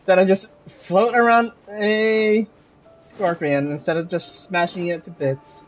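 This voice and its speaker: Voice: mid-range voice, steady tone, unhurried, faint music behind, rough recording. A game streamer giving casual, off-the-cuff commentary during a livestream.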